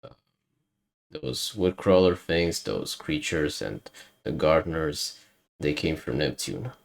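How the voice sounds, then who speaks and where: A man's voice: rumbling low, takes it slowly, vocal fry. A man giving casual, explanatory commentary as a video host, talking informally as if thinking aloud. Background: music under the voice.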